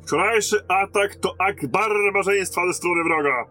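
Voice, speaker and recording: A man plays a seasoned lieutenant from a fantasy radio play. His voice is fairly high and hard-edged, with a blunt, commanding soldier's manner, over quiet music. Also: a little slow. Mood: commanding, rousing. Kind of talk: fantasy audio drama acting.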